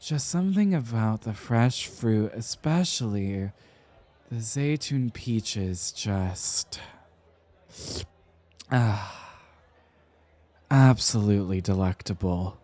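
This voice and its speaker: A man playing a flustered, dramatic, tipsy young scholar in an ASMR roleplay, enunciating carefully with halting, theatrical flourishes and an affectionately defensive temper. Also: fairly low pitch, expressive, a little mellow. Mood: dreamy, indulgent. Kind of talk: ASMR roleplay, acted one-sided conversation.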